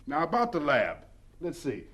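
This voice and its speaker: An actor in an audio drama playing a busy, genial but demanding college principal with a clipped, bustling manner. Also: male voice, a little high, huge pitch swings, moderate pace, a bit bright, full character voice. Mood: brisk, distracted. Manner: clipped, bustling, self-interrupting, emphatic.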